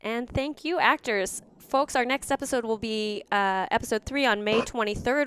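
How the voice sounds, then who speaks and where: A woman's voice: medium pitch, clear, resonant tone, no echo. A woman host addressing the audience of a live online theatre show, as in a curtain call or sign-off. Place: remote online video call.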